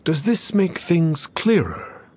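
A man's voice: on the high side, very animated, takes it slowly, slightly rough, dry recording, exaggerated voice. A man playing a grandiose, cryptic masked lord in a video game, speaking in pompous, archaic, formal phrases. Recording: music behind the voice.

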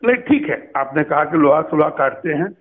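A middle-aged man with a rather high voice presses his point earnestly on a phone call. He is insistent and admonishing. The line sounds thin and tinny. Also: expressive, fairly quick, dry recording. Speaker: interviewer and activist. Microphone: telephone.

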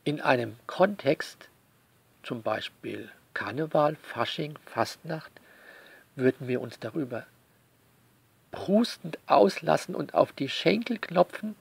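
A man delivering a sarcastic spoken essay to listeners, theatrical and mock-indignant, leaning on words with drawn-out emphasis. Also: a little high, animated, vocal fry, husky, no echo. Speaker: author commenting on their own novel.

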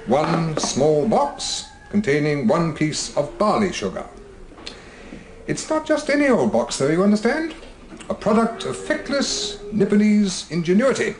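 Radio play: donnish British gentleman scientist, genial, smug, theatrical, very animated delivery. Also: fairly high pitch, measured pace, noisy background. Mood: showman, patient. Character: genial, self-satisfied older gentleman scientist showing off his experiment. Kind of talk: radio drama acting.

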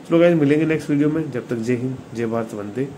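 A man's voice: mid-range voice, fast talker, dark tone. A man with an Indian accent giving a casual, informal hands-on phone review, often addressing his viewers. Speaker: tech reviewer, video host. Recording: clearly noisy room recording.

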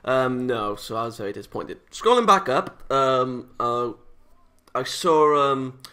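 A man's voice: medium pitch, expressive, a little slow, pushed and bright. A British man hosting a gaming video, chatting casually and reading visual novel text aloud with playful, self-interrupting asides. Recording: music playing behind the voice.

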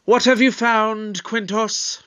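A man plays a time-travelling detective in a sci-fi audio drama. He is pompous and suspicious, questioning deliberately in a notably high male voice. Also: steady tone, bright, forceful tone, clean tone, heavily acted. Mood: suspicious, brisk.

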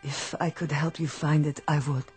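An actress playing a dutiful, protective robot housemaid with a measured, formal manner in a radio drama. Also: female voice, low-pitched, steady tone, darkish tone, faint music behind, close to an everyday voice. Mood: formal, reserved.

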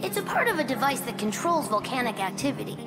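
A woman's voice: high voice, big pitch swings, fast talker, over music. A woman voicing an earnest, brave young boy fox inventor in a video game, explaining things with determination. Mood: curious, explaining.

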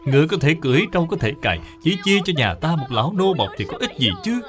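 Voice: medium pitch, big pitch swings, quick pace, pitched above the performer's own voice, close to an everyday voice. A performer plays a despairing widowed mother in a cartoon tale. Her raised voice is plaintive and bitter, lamenting over faint music. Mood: grieving.

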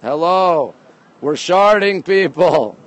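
A middle-aged man hosting a casual walking live stream, chatty and excitable, often repeating himself as he reacts to viewers. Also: sits high, takes it slowly, poor audio. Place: outdoors on busy city streets, walking. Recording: outdoor street and traffic noise.

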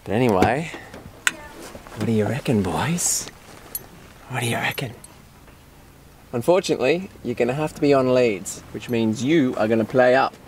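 A man's voice: medium pitch, animated, normal speed, long pauses, a little mellow. A man in his forties with an Australian accent, chatting casually to the camera in his car and on a hike with his dogs. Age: 40s. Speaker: vlogger. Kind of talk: casual vlog talk to camera. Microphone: camera held at arm's length or mounted on the dash. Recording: outdoor camera audio with clear background noise.

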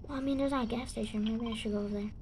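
A young girl with a slightly high voice chats through a match, sore-throated and loosely rambling. She trips over words and restarts often, and her talk jumps up in sudden excited bursts. A faint hum of noise sits under her voice. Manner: rambling, halting, with excited outbursts. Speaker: gaming channel host. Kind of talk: casual gameplay commentary.

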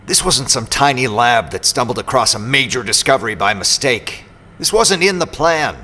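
A man's voice: mid-range voice, animated, moderate pace, pushed and bright. A man playing an irritable, suspicious mercenary robot pilot in an audio drama, sardonic and confrontational. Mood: tense, defensive.

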